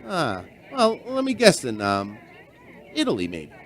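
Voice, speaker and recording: An actor in a radio drama playing a friendly, curious barkeep turned amateur sleuth, with a folksy, hesitant, probing way of talking. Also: male voice, mid-range voice, wildly swooping pitch, a little slow, a bit bright, a faint crowd. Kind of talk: radio drama acting and narration.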